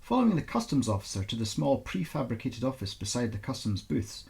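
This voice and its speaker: A man speaking as an author presenting and reading aloud his own fiction in an informal, expressive storytelling style. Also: mid-range voice, fairly quick, vocal fry. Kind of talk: informal introduction, then expressive audiobook-style narration.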